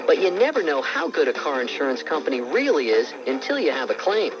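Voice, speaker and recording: A male narrator with a fairly high, animated voice reads an insurance ad conversationally. The sound is dull and lo-fi, with music behind. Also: brisk. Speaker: commercial narrator.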